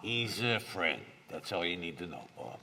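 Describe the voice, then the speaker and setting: Very deep, gravelly man, terse. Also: sing-song, moderate pace, sharp tone. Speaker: tabletop game player. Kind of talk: in-character role-play, narrating own actions. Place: live stage at a gaming convention. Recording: noisy live convention recording.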